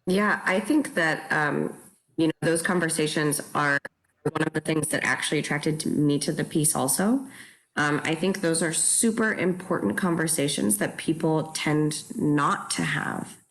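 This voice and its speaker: A woman with a low-pitched voice speaks in a panel discussion as a theatre director. She is earnest and reflective, leaning on key words for emphasis and often checking that others follow. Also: normal speed, a bit bright, low-quality recording.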